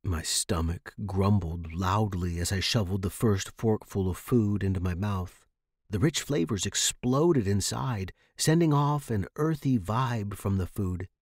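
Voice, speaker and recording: A man's voice: lowish voice, measured pace, a little mellow, no room sound. A man narrating a scripted story for a fiction podcast, recorded close in a dry space.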